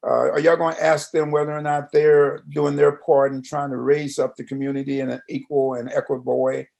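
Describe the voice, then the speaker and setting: A man's voice: a little high, flat delivery, a little fast, gravelly, a little mellow. An American man hosting a conversational radio interview, with a folksy, roundabout, questioning way of talking.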